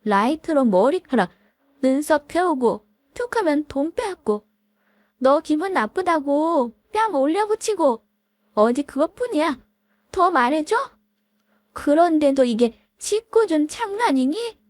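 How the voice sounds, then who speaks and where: A woman voicing a long-hurt wife who confronts her childhood bully with sharp, cold retorts. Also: sits high, sing-song, measured pace, no room sound, voice pushed up. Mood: accusing. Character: wife, middle-aged woman, long-hurt and now defiant.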